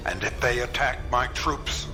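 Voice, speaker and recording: A man's voice: medium pitch, a little slow, pushed and bright, soft background music. A man playing an alarmist, hawkish military general in a radio drama, grave and urgent in manner. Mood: tense.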